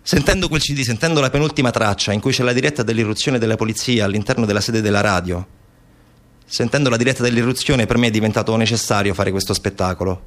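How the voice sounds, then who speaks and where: A man performing a theatrical narrative monologue as a stage storyteller, deliberate and expressive. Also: on the low side.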